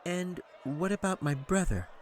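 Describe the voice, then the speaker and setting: A little girl from a fantasy storybook as a man acts her out, pitched far above the narrating voice. She sounds innocent, plaintive and full of questions, with faint outdoor bustle behind her. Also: a little slow, a faint crowd. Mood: timid, curious. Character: small girl, innocent, doubtful, polite. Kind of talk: fantasy audiobook character voice.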